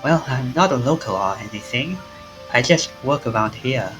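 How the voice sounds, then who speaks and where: A man's voice: medium pitch, expressive, normal speed, fairly bright tone, faint music behind, natural voice, rough recording. A man voicing a curious, casual, questioning man, the protagonist of a visual novel.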